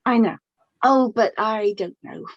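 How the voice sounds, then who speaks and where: A woman playing a gentle, flustered middle-aged widowed mother in a radio drama, halting and repeating her words. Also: a little high, no room sound, highs cut off. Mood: flustered, confessional. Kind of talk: naturalistic radio drama dialogue.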